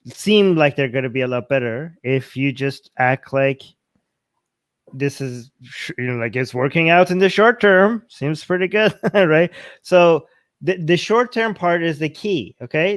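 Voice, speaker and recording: A middle-aged man co-hosting a live Q&A chat, playful and self-mocking, halting with fillers. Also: mid-range voice, a bit bright, no room sound. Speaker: co-host.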